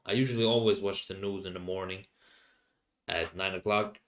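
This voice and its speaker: Halting young Finnish man, lowish voice. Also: steady tone, fairly bright tone. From Finland. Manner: halting, many fillers and restarts, searching for English words. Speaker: podcast host. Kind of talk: casual solo rambling.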